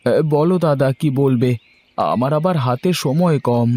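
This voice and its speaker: A man's voice: medium pitch, measured pace, darkish tone, natural voice. A man voicing a kind, principled poor farmer in a village cartoon, speaking hesitantly. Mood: earnest, reluctant. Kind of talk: cartoon dialogue acting.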